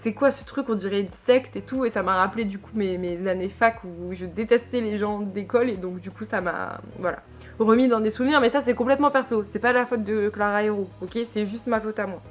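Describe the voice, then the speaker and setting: A young woman from northern France giving a casual book review in a chatty, hesitant way, full of fillers and restarts. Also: mid-range voice. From Lille, northern France. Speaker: book vlogger.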